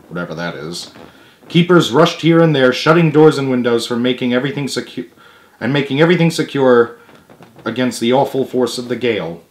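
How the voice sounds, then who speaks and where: A young man with a mid-pitched voice reads a children's story aloud. His delivery is expressive storytelling, broken by casual asides. He is at home in a living room, picked up by a camera a little way off. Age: young adult.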